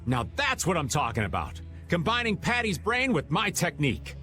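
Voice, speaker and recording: A man's voice: a little high, big pitch swings, normal speed, bright, forceful tone. An actor playing a playful, sly older man, a joking veteran adventurer in a video game. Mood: playful, teasing. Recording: music under the voice.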